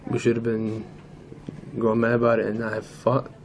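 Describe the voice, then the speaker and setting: Flat-toned polite teenage boy, acted by a student. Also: mid-range voice, moderate pace, slight background noise, lightly acted. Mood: earnest, polite. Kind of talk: amateur school dramatization.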